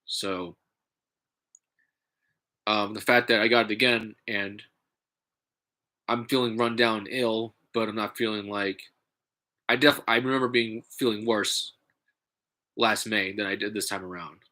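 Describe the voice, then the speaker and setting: A man with a stuffy, congested nose, talking in a halting, casual way with many fillers and restarts, vlogging from home. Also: fairly low pitch, steady tone, sharp tone. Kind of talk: casual rambling vlog.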